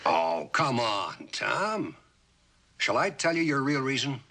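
An actor playing a stern, commanding older general with an American accent in a mid-century television drama, speaking in a blunt, deliberate way. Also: male voice, mid-range voice, very animated, pushed and bright, exaggerated voice. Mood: stern, admonishing. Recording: old television soundtrack with faint hiss.

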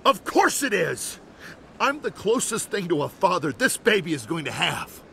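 High-pitched, insistent anguished brother-in-law. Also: male voice, very animated, moderate pace, vocal fry, sharp tone, a little hiss or hum, full character voice. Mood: angry. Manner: urgent, argumentative, emotional. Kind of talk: video game drama acting. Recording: music behind the voice. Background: music.

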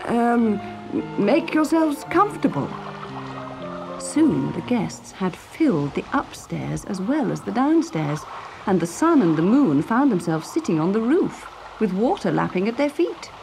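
A woman narrating a children's story in an expressive, clearly phrased way. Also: mid-range voice, moderate pace, over music.

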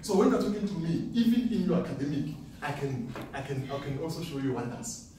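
A young man from South Africa pitches a trading class to seated students, chuckling as he brags. His voice sits a little high. The room rings with echo and the camera is far from him, with faint noise underneath. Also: fairly quick. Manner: animated, boastful, laughs often. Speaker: forex trading speaker. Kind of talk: live seminar talk to students. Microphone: far camera, no mic.

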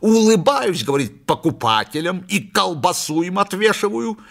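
A man voicing a big, nervous, aggrieved husband in a comic story reading, loud and emphatic. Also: sits high, lively intonation, moderate pace, gravelly, pitched above the performer's own voice, full character voice. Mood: indignant. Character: burly, nervous, big-bellied shopkeeper husband, aggrieved and indignant.

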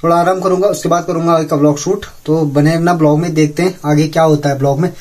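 A man's voice: a little high, steady tone, fast talker, darkish tone. A young man from the Himalayan hills filming a casual village vlog on his phone at arm's length, chatting to viewers as he goes about chores. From Uttarakhand hills, India. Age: young adult. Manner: chatty, keeps calling viewers brother. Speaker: village vlogger. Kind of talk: casual vlog talk to camera. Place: village house and yard, indoors and outdoors. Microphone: phone camera held at arm's length.

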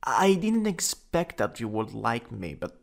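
A man playing a shy, lovestruck coworker in an intimate boyfriend ASMR roleplay, speaking softly and hesitantly, at times nearly whispering. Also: medium pitch, big pitch swings. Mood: flustered. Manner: hesitant, halting, breathy drops into near-whisper. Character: shy lovestruck male coworker confessing to his crush.

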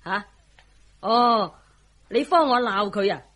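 A woman's voice: medium pitch, animated, slow pace, a bit bright. An actress with a Hong Kong Cantonese accent playing a scolding, sarcastic middle-aged mother in a radio drama.

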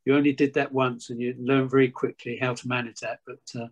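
A man's voice: mid-range voice, level tone, a little fast. An older man hosting an online talk, thanking the speaker and calling on questions, restarting words as he goes. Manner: warm, stammers on restarts. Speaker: host. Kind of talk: hosting a video call. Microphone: video call.

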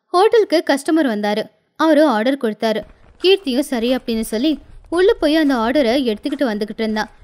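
A woman with a high voice tells a scripted moral tale as its narrator. She delivers it as storytelling for an animated story. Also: brisk.

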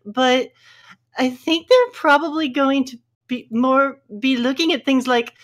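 A woman reading forum posts aloud for a comedy podcast in a halting, mock-earnest way. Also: high-pitched. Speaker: podcast reader.

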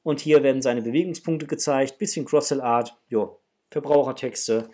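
A man's voice: mid-range voice, normal speed, warm tone. A man in his forties chatting casually and enthusiastically to viewers as he presents an unboxing indoors. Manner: enthusiastic, addresses viewers as friends. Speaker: toy unboxing vlogger. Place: indoors, small room with framed posters.

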